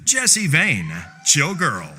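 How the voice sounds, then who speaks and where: A man works as an awards announcer with a very bright, forceful voice. His pitch sits a little high and moves in lively swings. Music plays softly behind him. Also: slow.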